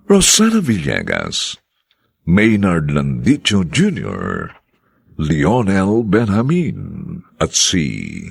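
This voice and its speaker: A man's voice: sits low, vocal fry, breathy edge, dry recording. A man narrating and announcing a radio drama in a solemn, formal, theatrical style.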